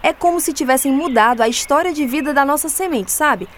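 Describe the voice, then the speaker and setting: A woman's voice: high-pitched, a little fast, slight background noise, lightly acted. A woman playing an outspoken, savvy farmer in a radio drama, with a Northeastern Brazilian accent and a folksy, emphatic delivery. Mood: explaining, firm. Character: savvy, outspoken rural farmer woman named Rosa, explaining firmly.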